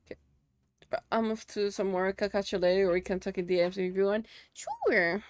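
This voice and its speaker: A woman's voice: mid-range voice, fairly even intonation, a little fast, darkish tone, dry recording. Dry, chatty woman streamer, Spanish native. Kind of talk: casual live-stream chat while working.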